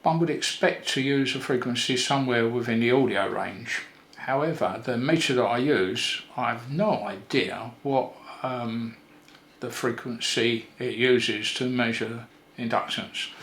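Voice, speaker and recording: A man's voice: mid-range voice, fairly bright tone. Older man in an echoey room. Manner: unhurried, thinking aloud with pauses. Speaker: electronics repair presenter. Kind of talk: casual hands-on tutorial narration. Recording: roomy echo with faint background noise.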